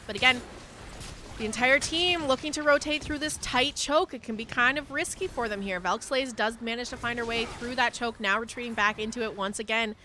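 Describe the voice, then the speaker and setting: A woman esports caster giving live play-by-play game commentary with an excitable, urgent delivery. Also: a little high.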